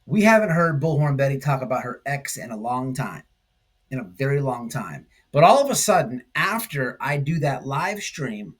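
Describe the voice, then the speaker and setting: A man hosting a commentary stream, talking casually and haltingly, repeating phrases for emphasis. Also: medium pitch, sing-song, husky. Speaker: host of a livestream commentary channel. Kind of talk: casual rambling drama commentary.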